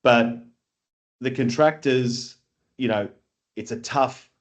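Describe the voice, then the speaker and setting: A man who grew up in Australia, with an Australian accent, talking as an interview guest in an animated, rambling way full of fillers and restarts. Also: medium pitch, takes it slowly. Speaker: guest, industry scheme chief executive. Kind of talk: casual conversational interview.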